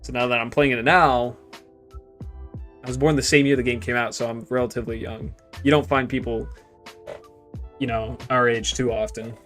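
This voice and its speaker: A man's voice: mid-range voice, steady tone, normal speed, long pauses, fairly bright tone, soft background music. A man in his twenties chatting casually on a game stream, rambling and joking crudely, often trailing off into mutters. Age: 20s. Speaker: gaming streamer. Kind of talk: casual stream chat while gaming.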